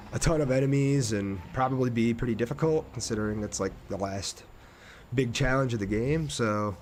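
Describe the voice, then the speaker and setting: A man livestreaming his gameplay in a middling-pitched voice. He talks casually to his chat, laid-back and chatty, reading comments and thinking aloud as he goes. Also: slight background noise. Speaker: game streamer.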